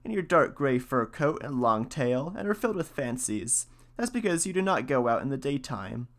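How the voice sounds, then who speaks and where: A greedy, selfish female cat from a fairy tale, as a narrator puts her on. She talks in a cool, offhand, middling-pitched voice, sly and storybook in style. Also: sing-song, normal speed, a bit bright. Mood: condescending.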